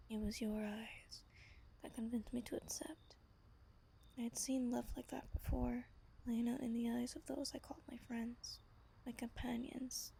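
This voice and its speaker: Woman acting as ancient female vampire, soft whispery ASMR storytelling, wistful and devoted, high-pitched, creaky edge, clear background noise. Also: rough recording. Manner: gentle, slow, intimate storytelling. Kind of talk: soft-spoken ASMR roleplay monologue.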